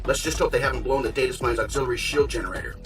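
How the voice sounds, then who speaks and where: A man's voice: mid-range voice, steady tone, very fast, natural voice. A man playing a cocky, sarcastic superhero genius in a video game, with an urgent, wisecracking delivery. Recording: distant voice under clear music.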